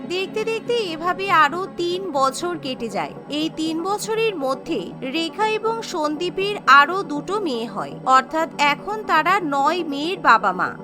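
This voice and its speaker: A woman narrating a scripted cartoon story as its storyteller. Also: very high-pitched.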